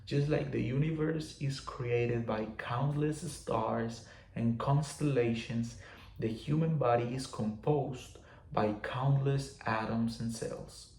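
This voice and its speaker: Man with a gravelly voice. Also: mid-range voice, fairly even intonation, slow pace, darkish tone, reverberant, rough recording. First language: Spanish. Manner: loose and repetitive, many fillers and restarts. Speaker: teacher of spiritual laws. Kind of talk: casual explanatory talk.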